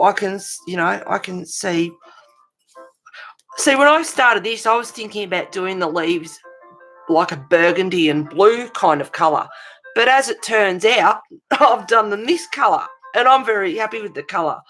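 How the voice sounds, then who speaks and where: A woman with an Australian accent and a creaky voice, chatting informally and encouragingly as the host of a casual live stream, rambling a little. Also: medium pitch, fairly bright tone, poor audio. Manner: rambling, informal, encouraging, addressing viewers as guys.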